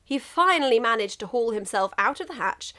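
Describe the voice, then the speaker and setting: Female storyteller for comic fan fiction, fairly high voice, highly animated intonation. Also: fairly quick. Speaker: amateur fan fiction reader. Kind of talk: expressive comic story narration.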